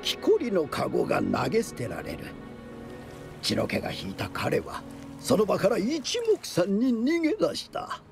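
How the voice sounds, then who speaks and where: An actor playing a theatrical storyteller of eerie legends, speaking with deliberate suspense and a gravelly creak. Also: male voice, high-pitched, wildly swooping pitch, full character voice. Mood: suspenseful, grave. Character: theatrical male storyteller spinning eerie mountain legends, dramatic, knowing. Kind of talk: dramatic oral storytelling. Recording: loud music under the voice.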